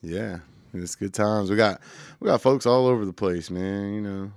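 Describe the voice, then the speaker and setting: A man's voice: on the low side, moderate pace, vocal fry, darkish tone, dry recording, clean studio sound. A man co-hosting a radio talk show in a laid-back, rambling way that often trails off. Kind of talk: casual chat.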